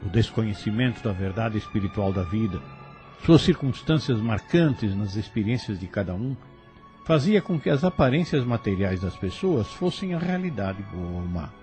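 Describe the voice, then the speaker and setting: A man's voice: on the low side, very animated, moderate pace, darkish tone, faint music behind. A man narrating a solemn, reflective spiritual commentary.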